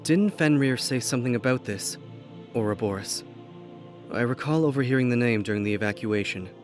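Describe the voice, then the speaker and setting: A man's voice: mid-range voice, a little fast, soft background music, natural voice. A man playing a courteous, earnest peacemaking god in an audio drama, with formal and deferential speech. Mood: earnest, polite. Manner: formal, polite.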